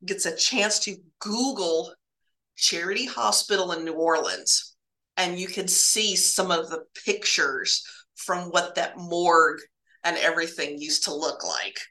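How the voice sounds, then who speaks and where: Woman guest, bright voice, casual halting storyteller of eerie hospital tales, echoey room sound. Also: fairly low pitch. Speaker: guest telling stories of working in hospital laboratories.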